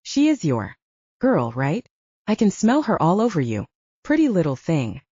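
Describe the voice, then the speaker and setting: A menacing male werewolf alpha from an audiobook, read by a woman, smug and sneering. The voice is mellow and goading, with swinging intonation. The sound is slightly dull. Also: fairly low pitch, huge pitch swings, a little slow, breathy edge, dry recording, exaggerated voice. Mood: taunting.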